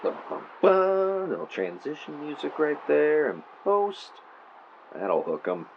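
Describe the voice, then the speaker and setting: A man's voice: a little high, very animated, slow pace, gravelly, exaggerated voice. An actor playing a male true-crime podcaster, telling a spooky story theatrically with wry asides into a clip-on mic. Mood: casual, wry. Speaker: podcast host character. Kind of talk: audio drama, true-crime narration recorded on tape. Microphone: mic clipped to shirt. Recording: muffled noisy tape, clip-on mic in car.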